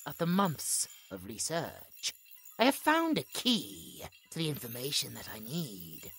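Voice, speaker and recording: A cold, imperious female scientist, played by a woman for a horror audio drama. Her low voice is forceful and crisply theatrical, swinging dramatically in pitch. Faint music plays behind her clinical delivery. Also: huge pitch swings, unhurried, exaggerated voice, poor audio. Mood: intrigued, scheming. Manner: theatrical, clinical log narration with dramatic emphasis.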